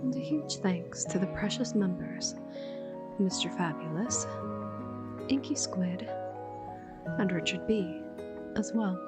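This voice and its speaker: A woman speaking softly as a channel host, reading scripted thanks to supporters, with guitar music behind her. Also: medium pitch, slow pace, long pauses.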